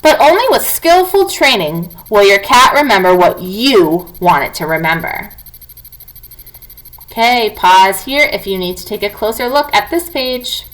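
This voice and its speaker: A woman teacher reading non-fiction aloud to young students in an expressive, clearly enunciated, instructive way. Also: mid-range voice, measured pace, poor audio.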